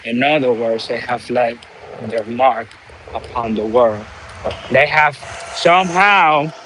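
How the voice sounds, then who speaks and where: Slow, preachy man. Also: medium pitch, pushed and bright, slight background noise, low-quality recording. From Dominican Republic. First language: Spanish. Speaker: livestream host. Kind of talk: motivational live-stream talk.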